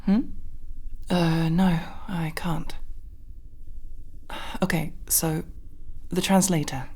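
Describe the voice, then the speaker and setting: A woman playing a gentle female alien crewmate and lover in an intimate ASMR roleplay, speaking softly and hesitantly, often in a whisper. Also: deep voice, steady tone, full character voice. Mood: playful, affectionate. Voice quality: soft, breathy, often whispering. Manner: hesitant, halting, tender.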